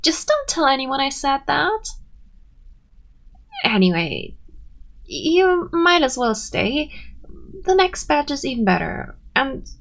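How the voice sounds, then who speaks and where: A woman's voice: sits high, sing-song, takes it slowly, gravelly, pauses often, fairly bright tone, full character voice. A woman playing a bashful tsundere friend in an ASMR roleplay, abrasive yet sweet, with flustered hesitations and haughty denials. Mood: bashful, soft. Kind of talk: ASMR roleplay, acted intimate monologue.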